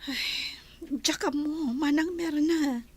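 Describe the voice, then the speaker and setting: A woman's voice: high voice, takes it slowly. A Filipino woman in a radio drama playing a worried, suspicious wife who speaks in an earnest, emotive way. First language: Ilocano. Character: worried, pleading, suspicious wife of a rich man. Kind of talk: Ilocano radio drama acting.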